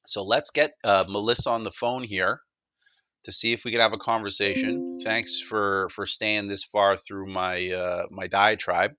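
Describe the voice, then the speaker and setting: Low, bright-voiced Canadian man presenting. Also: steady tone, a little slow, no echo, soft background music. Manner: informal, discursive, stammers and repeats words. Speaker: presenter of a technology demo. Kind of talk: casual sales presentation, conversational.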